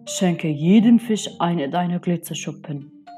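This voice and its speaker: A woman voicing a wise old octopus in a storybook, with a deep, slow and solemn voice, soft music behind. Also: natural voice.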